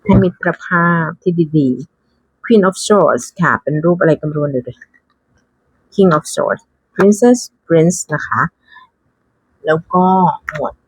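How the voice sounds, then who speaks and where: Woman, unhurried pace, husky voice, casual unboxing chat, thinking aloud, dry close sound. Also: low-pitched, animated, no echo, rough recording. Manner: chatty, thinking aloud with frequent exclamations; mixes English card names into her talk. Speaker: tarot deck reviewer.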